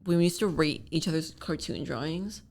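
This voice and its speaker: A woman in her early twenties with a deep, gravelly voice. She co-hosts a casual podcast, speaking bluntly with frequent swearing and lots of "like.". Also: animated, brisk, darkish tone. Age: 20s. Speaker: podcast co-host. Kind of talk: casual chat.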